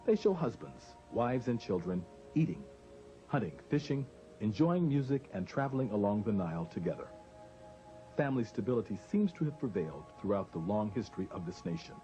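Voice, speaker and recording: A man reading scripted documentary narration in a measured, formal, expressive way. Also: lowish voice. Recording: dull lo-fi audio with music.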